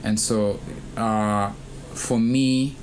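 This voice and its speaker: A young man from Kenya co-hosting a student podcast, speaking thoughtfully with frequent pauses and fillers. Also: fairly low pitch, fairly even intonation, background noise. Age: young adult. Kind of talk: casual conversation.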